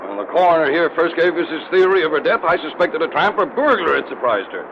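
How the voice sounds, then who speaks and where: An actor playing a brisk, skeptical police lieutenant in an old radio drama, authoritative and dismissive. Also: male voice, on the high side, expressive, vocal fry. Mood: probing, firm. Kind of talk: 1930s radio drama acting. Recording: dull, noisy old radio broadcast recording.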